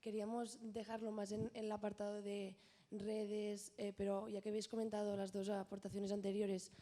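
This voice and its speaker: A young woman from a student association, making measured, slightly hesitant remarks at an assembly. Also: mid-range voice, completely flat delivery, warm tone. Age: young adult.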